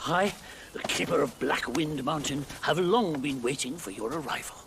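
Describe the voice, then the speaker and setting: An actor playing a quirky little old mountain guardian spirit in a fantasy game, theatrical and excitable. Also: male voice, fairly high pitch, moderate pace, creaky, noisy background. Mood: theatrical, marvelling. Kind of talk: fantasy game character acting.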